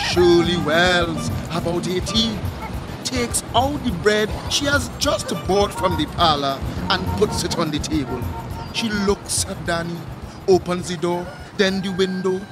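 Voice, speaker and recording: A man narrating a radio play as a measured storyteller setting the scene. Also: sits high.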